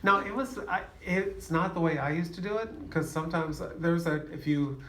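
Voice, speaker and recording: A man's voice: fairly high pitch, moderate pace, sharp tone. A man, the author on a press panel, speaking modestly and chuckling as he talks. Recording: distant roomy hall with faint noise.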